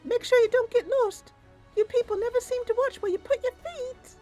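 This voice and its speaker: Squeaky, high-pitched tiny river fairy. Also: female voice, normal speed, a little mellow, soft background music. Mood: teasing. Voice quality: squeaky, girlish. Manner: exclamatory, coy. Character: tiny playful, petulant female river nixie fairy.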